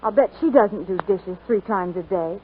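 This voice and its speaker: A woman's voice: medium pitch, normal speed, slight background noise, close to an everyday voice. A self-conscious young housewife, played by an actress in a 1940s radio advert. She frets in an embarrassed way, and the old broadcast sound is thin and narrow. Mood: flustered, self-conscious. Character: embarrassed young wife fretting over her dishwater hands. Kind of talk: 1940s radio commercial acting.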